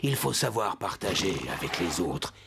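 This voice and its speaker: An actor playing a wise, kindly old wild stallion in a French cartoon dub, speaking in a measured, teaching way. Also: male voice, deep voice, sing-song, vocal fry, full character voice. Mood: wise, instructive.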